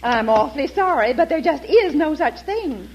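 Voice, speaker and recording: Flighty, gushing female clerk, very high swooping voice, giggly old-time radio comedy. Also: animated, moderate pace. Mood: breezy. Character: chatty, scatterbrained, flighty young female office clerk. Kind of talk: 1940s American radio comedy acting. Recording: dull, noisy old radio recording.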